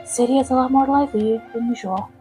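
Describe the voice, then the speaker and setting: A woman's voice: on the high side, fairly even intonation, quick pace, dark tone. A woman doing amateur video game voice acting in a polite, earnest manner. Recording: amateur recording with music behind.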